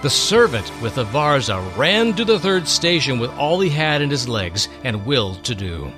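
A man's voice: medium pitch, sing-song, moderate pace, over music. A man narrating a scripted story as host, in a theatrical, literary delivery.

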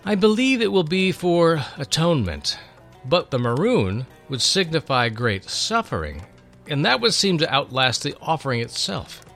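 Man as an eager young pupil, raised lively pitch. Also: moderate pace, creaky, faint music behind, full character voice. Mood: thoughtful, eager. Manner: inquisitive, deferential, thoughtful. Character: eager, earnest young male servant and pupil. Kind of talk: dramatic storybook reading.

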